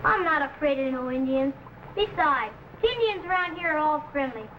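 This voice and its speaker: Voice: sits high, animated, moderate pace, highs cut off. A performer playing a plucky frontier boy of eight or nine in an old drama, earnest and folksy. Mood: eager, boastful. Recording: noisy vintage serial recording.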